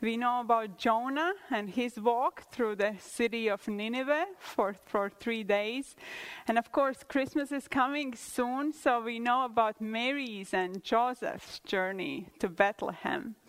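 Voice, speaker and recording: A woman's voice: on the high side, a little slow, low-quality recording. Latvian-accented woman giving a church testimony. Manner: earnest, plain, with hesitant restarts. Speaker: guest speaker at church. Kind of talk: testimony-style sermon.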